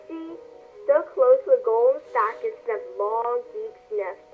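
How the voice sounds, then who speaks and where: A high female voice reads a storybook narrative aloud. It comes through a narrow phone-like line, with faint music behind it. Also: expressive, unhurried.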